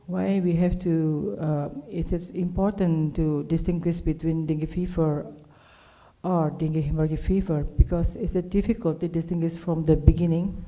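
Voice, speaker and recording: A woman from Indonesia with an Indonesian accent gives a medical conference presentation in halting, non-native English as a specialist speaker. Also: on the low side, mellow, rough recording. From Indonesia, Java. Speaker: clinical pathologist, conference speaker.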